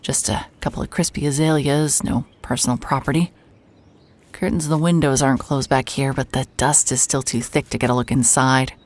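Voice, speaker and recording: A woman's voice: very deep voice, steady tone, fairly quick. A woman acting as a hard-hearted, wry and nervy private investigator in a cinematic audio drama, muttering to herself as she works. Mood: cautious, hushed. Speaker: lead actor and narrator of an audio drama. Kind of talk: cinematic audio drama, first-person noir narration and case dictation.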